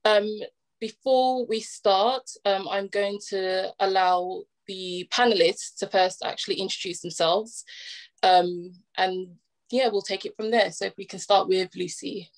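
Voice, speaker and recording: A woman's voice: mid-range voice, steady tone, a little slow, poor audio. A woman hosting a panel over a video call, speaking hesitantly with many ums. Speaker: webinar host.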